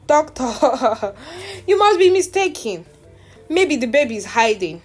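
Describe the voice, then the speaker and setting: Female narrator as young schemer, high and swooping, panicky, defiant, shrill, for a folktale drama. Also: big pitch swings, slow pace, husky, soft background music, exaggerated voice. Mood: nervous bluffing. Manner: shrill, theatrical. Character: desperate young woman liar, panicky and defiant.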